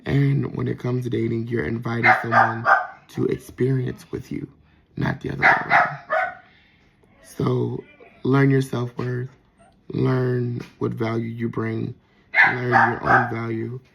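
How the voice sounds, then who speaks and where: Soft-voiced man with a gravelly edge. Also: medium pitch, monotone, a little slow, heavy background noise. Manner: reflective, with frequent fillers and restarts. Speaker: vlogger. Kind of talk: casual personal monologue.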